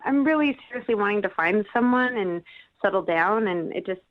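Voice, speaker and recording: A woman's voice: medium pitch, animated, a little fast, phone-quality sound. A woman chatting casually as a radio show guest, hedging as she goes with a giggly, hesitant manner. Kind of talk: casual phone chat.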